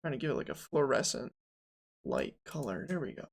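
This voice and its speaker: A young streamer in their twenties with a gravelly, fry-heavy voice at a middle pitch. They narrate their own work in a loose, joking way, with plenty of ums and asides. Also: huge pitch swings, brisk. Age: 20s. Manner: joking, rambling, self-talk with fillers. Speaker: game developer streaming. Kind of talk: casual live dev stream, thinking aloud.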